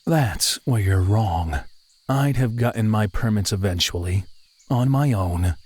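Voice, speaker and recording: A man playing a teasing, self-assured, protective hiker in an audio drama, firm and unhurried. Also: lowish voice, lively intonation, darkish tone, breathy edge, no echo. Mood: teasing, matter-of-fact.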